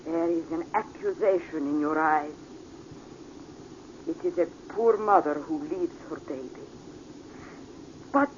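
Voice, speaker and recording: A woman's voice: mid-range voice, a little mellow, natural voice. An actress playing a worldly, passionate Italian woman with an Italian accent, speaking formally and theatrically in a vintage radio drama. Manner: theatrical, deliberate, formal foreign phrasing. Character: worldly Italian woman, mature, passionate, secretly protective mother. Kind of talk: 1940s radio drama acting. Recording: muffled, noisy vintage radio recording.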